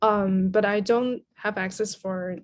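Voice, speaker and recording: Mid-pitched woman, thoughtful podcast guest. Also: fairly even intonation, slow pace. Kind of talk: casual interview talk.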